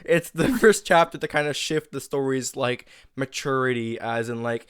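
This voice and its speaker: A man's voice: medium pitch, normal speed, a bit bright. A man chatting casually as interviewer and creator of an audio drama, with informal, somewhat hesitant phrasing.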